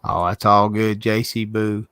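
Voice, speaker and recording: A man's voice: on the low side, little pitch movement, a little slow, sharp tone, clear, resonant tone, no room sound, clean studio sound. Southern man hosting a livestream. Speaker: host of an art livestream. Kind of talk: casual chat. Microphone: video call from a laptop.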